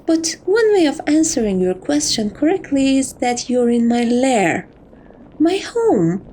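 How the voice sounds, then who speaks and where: Slow, high-voiced teasing catgirl thief acted by a woman. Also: sing-song, warm tone, a little hiss or hum. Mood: gleeful, affectionate. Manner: teasing, coy, theatrical, affectionate. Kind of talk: romantic ASMR roleplay.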